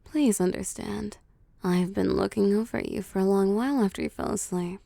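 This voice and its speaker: A woman voicing a seductive, possessive vampire boss in an intimate audio roleplay, cooing and teasing softly and often slipping into whispers. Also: medium pitch, normal speed, gravelly, no room sound. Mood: tender, teasing. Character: seductive, possessive female vampire CEO pampering her prey. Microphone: close, dry microphone.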